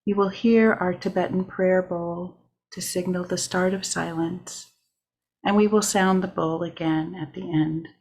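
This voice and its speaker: Female meditation leader, calm and deliberate, very slow with little pitch movement. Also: low-quality recording. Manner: calm, measured, with short deliberate phrases. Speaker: worship leader. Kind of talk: guided meditation.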